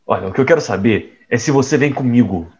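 A man playing a reckless young man in an audio drama, speaking casually in a medium-pitched voice. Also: fast, gravelly, a little mellow, lo-fi sound, natural voice. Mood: confident. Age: young adult. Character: reckless young man caught in crooked dealings.

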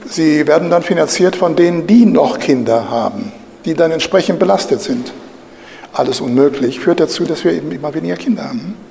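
A man's voice: fairly high pitch, a little fast, vocal fry, darkish tone. An older man giving an opinionated lecture from a lectern, with frequent fillers and tag questions. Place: bright lecture room, indoors. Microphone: studio mic on a stand at a lectern. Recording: reverberant room, light hiss.

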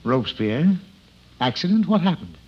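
A man's voice: a little high, sing-song, moderate pace, slightly rough. A man playing the exasperated, gullible father of a little girl in a 1950s radio comedy. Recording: dull, noisy old radio recording.

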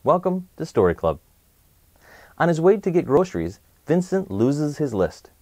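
A man in his thirties hosts a storytime for children. His voice sits fairly high and moves around in a very lively way as he tells the story expressively. The sound is dry and close. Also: moderate pace, dry recording. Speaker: host of a children's read-aloud show. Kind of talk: presenting and reading a picture book to children. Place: small indoor room set, seated.

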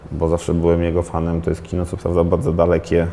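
A man in his thirties speaks in a very low, dark and mellow voice, weighing each thought with pauses, fillers and restarts. He is a film director answering questions on a studio set in a glass-walled hall. Faint background hiss sits under his lapel-mic sound. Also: monotone, gravelly. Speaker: film director, interview guest. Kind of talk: reflective interview talk.

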